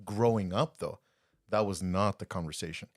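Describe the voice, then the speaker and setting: A man's voice: low-pitched, normal speed, vocal fry, no room sound, studio quality. A man co-hosting a podcast, telling candid stories in a rambling, casual way with frequent restarts. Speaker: podcast co-host.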